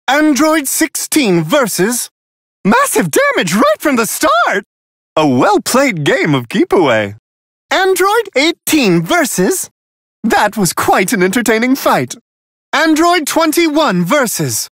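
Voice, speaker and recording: A man's voice: extremely high pitch, fairly bright tone, no room sound, studio quality, exaggerated voice. A man voicing a refined otherworldly angel who commentates video game fights with prim, serene, amused courtesy. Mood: poised announcing. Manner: prim, genteel, elongated formal phrasing.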